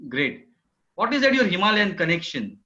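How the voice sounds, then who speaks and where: Male interview host, high-pitched and forceful, putting questions conversationally over muffled lo-fi audio. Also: big pitch swings, moderate pace. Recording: dull, lo-fi online audio.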